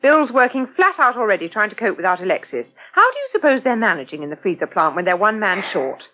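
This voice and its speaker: Lively, exasperated British woman on old radio. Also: medium pitch, fast talker. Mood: brisk, exasperated. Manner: no-nonsense, scolding. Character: brisk, exasperated, capable woman caring for an invalid. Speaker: actor in a radio soap. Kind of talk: 1980 British radio soap acting. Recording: dull 1980 radio recording.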